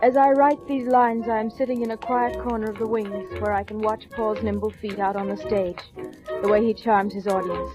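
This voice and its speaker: An actress playing an earnest, troubled mentalist's wife narrating in a 1940s radio drama, on a muffled old recording. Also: female voice, mid-range voice, fairly even intonation, moderate pace, over music, close to an everyday voice. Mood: brooding, anxious.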